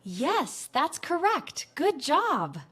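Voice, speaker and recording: A woman teaching a beginner English class, speaking clearly, patiently and encouragingly in a scripted lesson. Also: sits high, huge pitch swings, extremely slow, sharp tone.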